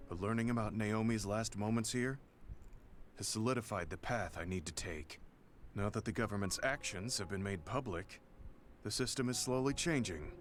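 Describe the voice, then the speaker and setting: A man voicing an earnest, reserved older soldier in a video game drama, speaking in a measured, sincere and formal way. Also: on the low side, lightly acted. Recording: loud music behind the voice. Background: background music.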